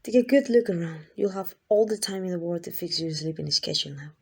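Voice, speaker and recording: A woman's voice: lowish voice, lively intonation, fast talker, vocal fry, a little mellow, breathy edge, exaggerated voice. A woman playing a lovesick, possessive female kidnapper in an intimate ASMR roleplay, doting and rambling in a flustered, coaxing way. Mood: doting, teasing. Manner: coaxing, rambling, hesitant asides.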